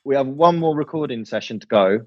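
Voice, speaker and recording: A man's voice: medium pitch, expressive, brisk, no room sound, muffled recording. A man chatting informally and candidly in an interview as a band musician, in a rambling way. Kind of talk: casual interview chat.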